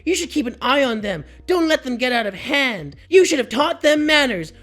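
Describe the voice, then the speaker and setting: A shrill, entitled middle-aged aunt, put on by a man, whining and nagging in a high, demanding squeal. Her voice leaps up and down wildly with every complaint. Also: big pitch swings, normal speed, fairly bright tone, full character voice.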